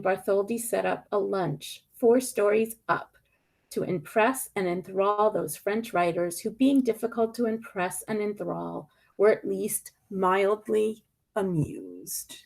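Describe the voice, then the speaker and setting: A woman reading a picture book aloud expressively, with deliberate dramatic emphasis and pointed pauses. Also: mid-range voice, creaky, a little mellow.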